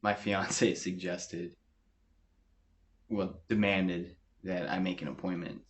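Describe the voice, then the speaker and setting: Anxious male sergeant played by an actor in a clinical training film: very low, slow, hesitant and polite. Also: steady tone. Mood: anxious, reluctant.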